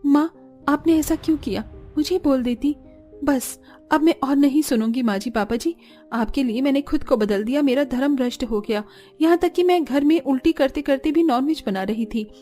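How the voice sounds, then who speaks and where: A woman's voice: high-pitched, normal speed, mellow, faint music behind, lightly acted. A woman voicing a long-suffering young daughter-in-law who stands up for herself in a cartoon drama. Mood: hurt, defiant.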